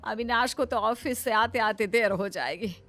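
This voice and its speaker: Doting, possessive mother-in-law, high-voiced woman actor. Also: moderate pace, fairly bright tone, soft background music, lightly acted. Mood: insistent. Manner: coaxing, insistent. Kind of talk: Hindi moral-story cartoon dub.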